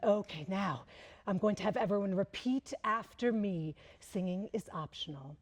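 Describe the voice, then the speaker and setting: A woman's voice: medium pitch, moderate pace. A woman playing a séance medium for a live audience, speaking with theatrical, old-fashioned formality into a handheld microphone. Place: large library event room.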